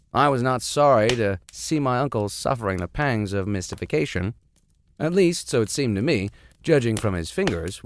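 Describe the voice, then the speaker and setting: A man's voice: medium pitch, slight background noise. A man reading a classic story aloud as host, theatrical in delivery, with wry asides. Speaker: reader, host.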